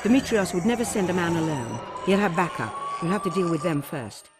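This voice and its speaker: A man playing a composed intelligence chief in a video game cutscene, speaking in a dry, measured way. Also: high-pitched, sing-song. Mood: dry, serious. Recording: game music behind the voice.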